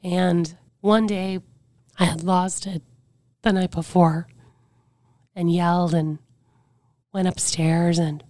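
This is a woman sharing personal stories on a podcast with a somewhat low, fragile voice that can't push past a certain loudness. She speaks earnestly and reflectively, leaning on casual fillers. The sound is dry and close, with no room around it. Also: little pitch movement, slow, long pauses, no room sound. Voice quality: weakened voice that cannot get loud. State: voice drops to a whisper at times. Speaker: podcast guest. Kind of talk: candid personal storytelling.

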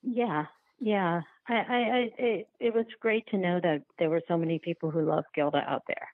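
A woman speaking casually as an interview guest, halting and restarting her sentences, over a thin phone-like line. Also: on the low side, no echo. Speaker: interview guest, documentary filmmaker.

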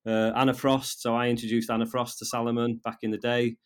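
Man, fifties, north welsh accent, interview guest, halting, repeats words, restarts, mid pitch. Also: little pitch movement, clear, resonant tone. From North Wales. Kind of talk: casual interview conversation.

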